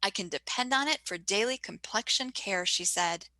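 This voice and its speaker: A woman's voice: medium pitch, moderate pace, pushed and bright, no echo, close to an everyday voice. A woman playing a chatty, gushing Hollywood reporter delivering 1940s-style radio advertising patter. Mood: gushing, friendly.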